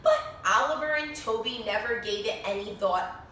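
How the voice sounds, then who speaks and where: A woman reading a picture book to young children in an expressive, sing-song way, pausing to explain things and ask questions. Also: a little high. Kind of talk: animated read-aloud story for young children. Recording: very echoey room, distant mic, faint noise.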